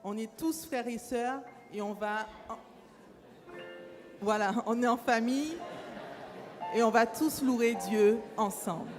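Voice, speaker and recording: A woman leading a congregation in worship, inviting them warmly to stand and sing together. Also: a little high, takes it slowly, pauses often. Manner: warm, inviting, coaxing. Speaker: worship leader. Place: church. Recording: church hall reverb with music.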